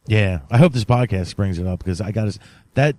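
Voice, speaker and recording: A man with a fairly low voice hosts a podcast interview. He talks informally, like an admiring fan putting questions to his guest. The sound is very dry, with no room echo. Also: a little fast, warm tone. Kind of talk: casual interview chat.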